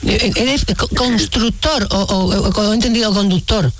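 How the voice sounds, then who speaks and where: A woman's voice: medium pitch, normal speed. A woman hosting a radio call-in show, chatting casually with listeners and tossing in short reactions. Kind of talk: casual live radio chat with callers.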